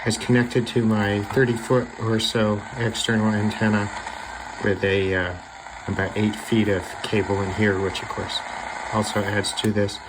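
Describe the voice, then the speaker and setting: A man's voice: fairly low pitch, little pitch movement, normal speed. A man chatting casually and unscripted as he demonstrates a shortwave radio, thinking aloud into a camera on a small tripod. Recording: noisy, radio static and hiss. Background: shortwave radio noise.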